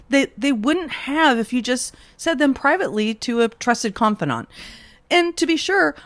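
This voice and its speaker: A woman host giving scripted true-crime commentary in a measured, pointed way, leaning on rhetorical questions. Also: mid-range voice, dry recording.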